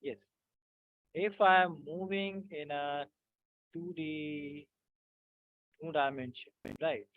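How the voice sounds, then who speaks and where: A male teacher leads an online physics class in a slightly raised voice. He talks slowly and haltingly, filling gaps with "uh" and checking in with "right?" The sound is thin and phone-like, with no room echo. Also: fairly high pitch, steady tone. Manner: halting, many fillers, keeps checking with "right?". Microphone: video call. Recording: tinny dry call audio.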